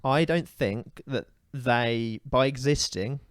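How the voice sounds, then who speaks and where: A man in casual podcast chat, holding forth with firm opinions and plenty of "um" and "you know". His voice sits at an ordinary middle pitch. It is recorded close and dry, with no room sound. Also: takes it slowly, clean tone, no room sound. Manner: opinionated, ranting, many fillers. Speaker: podcast co-host.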